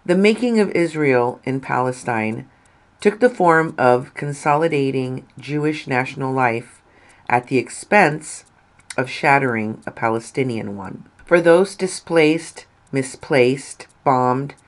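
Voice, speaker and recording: A woman narrating a written article in a deliberate, measured way, stressing phrases and letting endings fall. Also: extremely low pitch.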